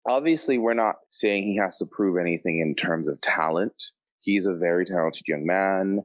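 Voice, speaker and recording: A man with a very low voice chats casually as a podcast co-host. His delivery is blunt with a shady sense of humour, and he is recorded dry, with no room sound. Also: normal speed, dry recording. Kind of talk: casual opinionated podcast chat.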